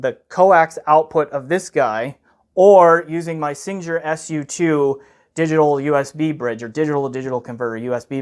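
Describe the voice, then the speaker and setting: A man giving an unscripted, explanatory audio gear review, often checking in with "okay" as he goes. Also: on the high side. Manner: explanatory, punctuated with frequent "okay" check-ins.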